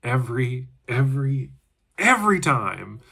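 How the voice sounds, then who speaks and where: A congested man hosting his own channel, giving a chatty, relaxed book review and thinking aloud as he goes. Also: mid-range voice, a little slow, vocal fry, slightly rough. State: congested from allergies. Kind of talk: casual book review talk.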